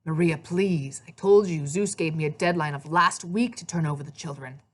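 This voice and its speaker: Give this woman's portrayal of a brooding underworld god from a fantasy storybook. He is agitated and urgent, pleading with grave insistence in a lowish voice. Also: normal speed. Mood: anxious, pleading.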